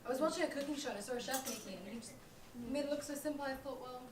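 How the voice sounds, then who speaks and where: A woman's voice: high voice, rapid-fire, natural voice. A young woman playing a defensive, self-doubting roommate in a comedic stage play. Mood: sheepish. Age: young adult. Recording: echoey room, distant mic, clear background noise.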